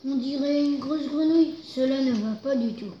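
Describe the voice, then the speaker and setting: A woman's voice: high-pitched, moderate pace, warm tone, a little hiss or hum, natural voice. A woman voicing a big grumpy male wolf who is unhappy with his color, reading a storybook aloud. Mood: dismayed. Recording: roomy, slightly dull recording.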